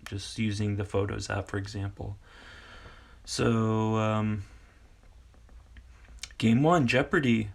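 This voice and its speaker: A man in his forties from Kansas, a teacher casually explaining classroom games in a rambling, halting way full of fillers. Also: on the low side, steady tone, gravelly. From Kansas, United States. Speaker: English teacher and video host. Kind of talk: casual tutorial talk.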